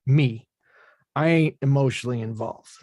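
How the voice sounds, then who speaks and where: A man's voice: medium pitch, moderate pace, mellow, no room sound, studio quality, natural voice. Man playing a blunt, laid-back tough-guy boxer. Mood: calm, menacing. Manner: blunt, plainspoken, understated. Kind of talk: table read of a film script.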